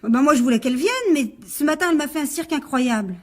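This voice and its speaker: An actress plays a defiant young woman in a radio mystery. Her voice sits quite high, and she answers back with cheek, as someone who will not be pushed around. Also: darkish tone, lightly acted. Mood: evasive, explaining. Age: young adult. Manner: impudent, quick to retort. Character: cheeky, defiant 21-year-old woman with a muddled alibi. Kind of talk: French radio crime drama acting.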